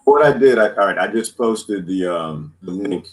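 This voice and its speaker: A man's voice: low-pitched, normal speed. An American man hosting a casual group chat on a livestream. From United States. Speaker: livestream panel host. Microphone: online group call. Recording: steady background noise on livestream call.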